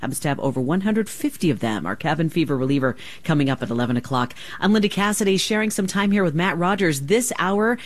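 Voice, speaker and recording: A woman's voice: sits low, expressive, quick pace, hardly any pauses, no echo. A woman radio host making a chatty, enthusiastic pledge-drive appeal from a studio microphone.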